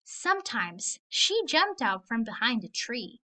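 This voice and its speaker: A woman narrating a children's story aloud in an expressive read-aloud style. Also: on the high side, measured pace. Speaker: storybook reader.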